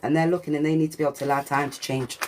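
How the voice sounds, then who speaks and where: A woman with a deep voice giving a channelled spiritual reading, preachy and repetitive, with swearing and slang. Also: little pitch movement, very fast, darkish tone. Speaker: spiritual reader. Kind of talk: channelled collective reading monologue.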